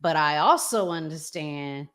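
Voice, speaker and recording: A woman hosting a relationship podcast, speaking casually in measured, thoughtful phrases. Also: deep voice, a bit bright. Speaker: podcast host. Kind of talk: casual conversational relationship talk.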